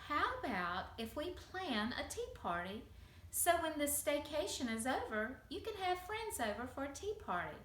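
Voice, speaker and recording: A woman voicing a gentle, practical queen mother in a children's story, patiently explaining things. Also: sits high, big pitch swings, normal speed, a little hiss or hum. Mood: patient, encouraging. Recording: echoey room.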